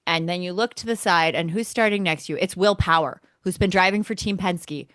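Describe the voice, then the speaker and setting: A woman's voice: on the low side, a little fast, fairly bright tone, no room sound. A woman co-hosting a sports podcast, talking casually as a keen fan with emphatic repetition for effect. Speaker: motorsport podcast co-host.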